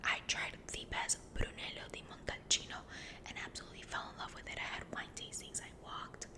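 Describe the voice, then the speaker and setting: Woman whispering close to the mic. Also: a little fast. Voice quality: unvoiced whisper. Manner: rambling, repeats words softly. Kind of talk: whispered ASMR storytelling.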